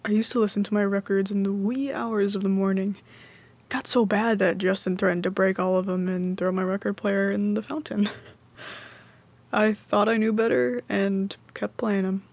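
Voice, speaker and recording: A woman's voice: mid-range voice, level tone, normal speed, darkish tone, close to an everyday voice. A woman playing a placidly loyal, reformed commune member, telling her story confidingly in an audio comedy testimonial.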